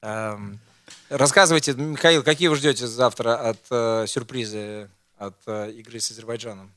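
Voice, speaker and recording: A man's voice: mid-range voice, fairly even intonation, moderate pace, pushed and bright, dry recording. A man hosting a casual football chat, rambling and teasing, with many hesitations. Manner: rambling, teasing, full of fillers. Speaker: host.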